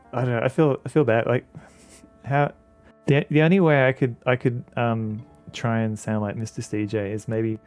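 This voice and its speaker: A man's voice: medium pitch, darkish tone. Rambling male game streamer. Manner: rambling, frequent restarts and fillers. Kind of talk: casual chat with viewers while playing a game.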